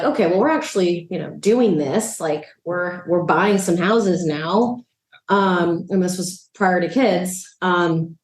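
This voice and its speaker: Low-voiced Salvadoran-born woman, relaxed chatty interviewee, echoey room. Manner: relaxed, chatty, frequent "um" and "you know". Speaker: real estate agent and investor. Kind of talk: casual interview chat. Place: a rental apartment.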